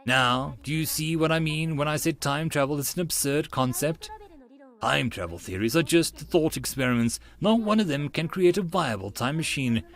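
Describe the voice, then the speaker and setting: A man's voice: a little high, expressive, moderate pace, a bit bright. A man voicing a composed, brilliant young woman scientist who lectures precisely and firmly in an audiobook. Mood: firm, explanatory. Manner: precise, firm, didactic.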